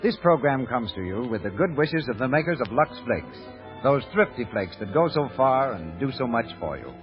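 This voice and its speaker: A male American announcer in the 1930s radio manner, polished and emphatic as he sells. His pitch moves around a lot, over music, on a muffled vintage broadcast recording. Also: big pitch swings, normal speed.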